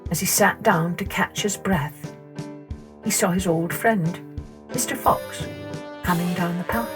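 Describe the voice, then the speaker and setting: Female children's storyteller, unhurried and expressive, lowish pitch. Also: over music. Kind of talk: expressive scripted story narration.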